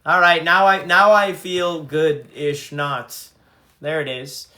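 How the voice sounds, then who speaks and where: Bright-voiced young man chatting on a livestream. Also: fairly high pitch, steady tone, slow. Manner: chatty, reads fan comments aloud. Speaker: band frontman livestreaming to fans. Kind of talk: casual livestream chat reading comments.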